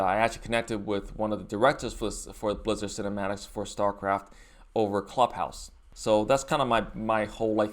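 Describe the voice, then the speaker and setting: A man hosting a reaction video, giving casual commentary in a rambling, halting, think-aloud way. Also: lowish voice.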